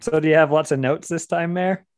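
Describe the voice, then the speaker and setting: A man's voice: fairly high pitch, steady tone, brisk, slight background noise. A man hosting a casual movie podcast who leads the talk in an easygoing way. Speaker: podcast host.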